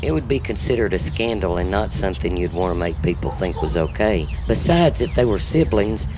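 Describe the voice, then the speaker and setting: A man's voice: low voice, expressive, a little fast. A man playing a devout, firm, wounded mother in a hobby audio drama, with a preachy, explanatory way of talking. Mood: firm, explaining. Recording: clearly noisy audio.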